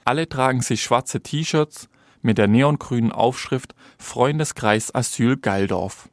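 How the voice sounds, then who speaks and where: Male reporter, mid-pitched voice, scripted radio feature narration. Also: unhurried, dry recording, clean studio sound.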